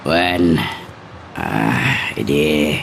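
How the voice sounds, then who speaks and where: Very deep-voiced, loyal henchman. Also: lively intonation, very slow, fairly bright tone, heavily acted. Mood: guarded. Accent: Ilocano. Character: loyal male henchman of a crime family, deferential. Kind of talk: Filipino radio drama acting. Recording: clear background noise.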